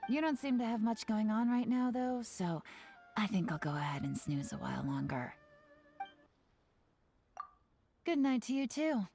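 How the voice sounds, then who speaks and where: A woman voicing a shrewd, playful young spymaster in a video game, teasing and self-assured, with a smooth delivery. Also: a little high, expressive, moderate pace, warm tone. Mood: relaxed, sleepy. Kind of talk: scripted video game character dialogue. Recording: game music behind the voice.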